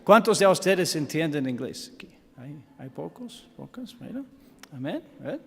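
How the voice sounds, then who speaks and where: A man with an American accent, a native English speaker, preaching in a church in halting Spanish with English fillers and self-deprecating humor. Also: fairly high pitch, very animated, vocal fry, husky, in a large hall. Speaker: guest pastor. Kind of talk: sermon with Bible reading. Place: church sanctuary.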